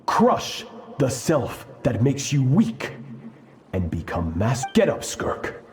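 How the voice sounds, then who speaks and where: An actor playing a stern, cold, commanding older sword master in a dramatic video game. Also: male voice, medium pitch, wildly swooping pitch, slow, full character voice. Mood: harsh, demanding. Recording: loud music under the voice. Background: music.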